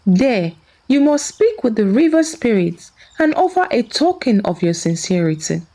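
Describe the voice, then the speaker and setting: A woman's voice: a little high, big pitch swings, normal speed, mellow. A woman voicing a wise, solemn mystical bird in a folktale. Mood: solemn guidance. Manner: melodic, solemn. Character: wise mystical bird guiding a young traveller.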